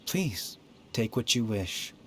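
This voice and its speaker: A man's voice: fairly low pitch, big pitch swings, takes it slowly, noisy background. A man voicing the courteous, wistful spirit of an ancient warrior in a video game, with formal, poetic phrasing. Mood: calm, courteous. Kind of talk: video game character dialogue. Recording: music behind the voice.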